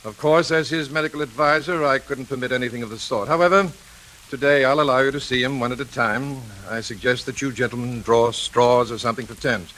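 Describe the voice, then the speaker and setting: An actor playing a formal, officious doctor who hems and fusses, in 1940s radio drama style. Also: male voice, medium pitch, a little fast, fairly bright tone, close to an everyday voice, low-quality recording. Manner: formal, fussy, hemming. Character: fussy, officious male hospital doctor.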